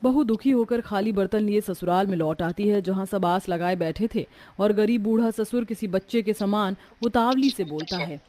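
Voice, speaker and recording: A woman narrating a scripted moral story in an expressive storytelling manner. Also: medium pitch, moderate pace, hardly any pauses, a little hiss or hum.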